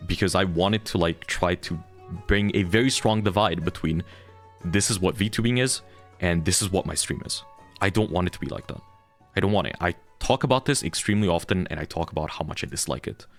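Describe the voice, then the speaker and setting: A young man with a deep, low voice rants candidly to his viewers. He is blunt and heated and swears freely, with faint music playing behind him. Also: a little fast. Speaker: VTuber streamer. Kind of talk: candid ranting monologue.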